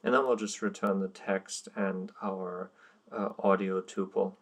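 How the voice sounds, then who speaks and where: A man's voice: low-pitched, steady tone, measured pace, a bit bright. A young man whose first language is German, talking through code in a casual tutorial with frequent ums and uhs. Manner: hesitant, many ums and uhs, plain explaining. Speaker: coding tutorial presenter. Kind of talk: screen-recorded coding walkthrough.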